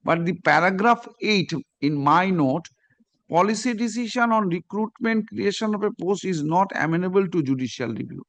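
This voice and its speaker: A man's voice: sits high, expressive, normal speed. A man with an Indian Bengali accent speaking as a judge in a court hearing, insistent and prone to repeating his phrases. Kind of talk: courtroom exchange and reading from a note.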